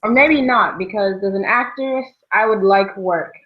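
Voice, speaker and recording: A woman's voice: mid-range voice, unhurried. A young woman co-hosting a casual, chatty discussion about books. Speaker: book discussion show co-host. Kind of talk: casual book chat. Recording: dull, lo-fi sound.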